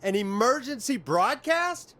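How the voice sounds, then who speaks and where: An actor playing a radio DJ in a horror game, measured, dry and probing as he questions a caller. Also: very high-pitched, animated, sharp tone, full character voice. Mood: startled. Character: middle-aged radio DJ calmly probing a dark mystery. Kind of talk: dramatic video game voice acting.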